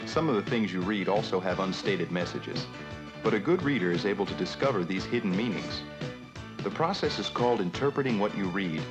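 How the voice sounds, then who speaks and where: A man narrating an instructional film, speaking to the viewer in a didactic, scripted way. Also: fairly low pitch. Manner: didactic, addresses the viewer directly. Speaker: narrator and presenter of an educational film. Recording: 1970s educational film soundtrack, music behind. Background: music.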